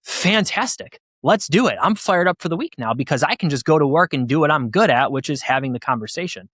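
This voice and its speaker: A man's voice: a little high, lively intonation, a little fast, fairly bright tone, no echo, studio quality. A man hosting a podcast, talking conversationally as he shares views and puts questions to a guest. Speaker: podcast host. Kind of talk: conversational interviewing.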